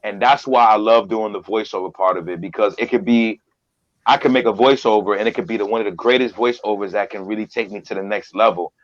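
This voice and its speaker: A man's voice: on the low side, steady tone, fast, highs cut off. A man guesting on a podcast as a voiceover artist, talking earnestly and repeating phrases for emphasis. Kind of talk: casual interview talk.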